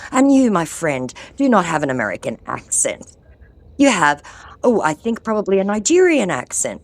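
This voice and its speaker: A woman's voice: low-pitched, dry recording. A woman with an ear infection, mocking a phone scammer in a sarcastic, confrontational way. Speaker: scam-baiting vlogger. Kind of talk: confronting a phone scammer, then chatting to viewers.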